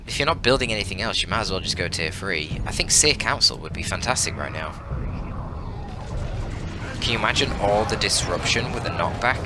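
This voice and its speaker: A man giving live strategy game commentary as a caster, analytical and conversational with casual swearing. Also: lowish voice. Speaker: esports caster and channel host.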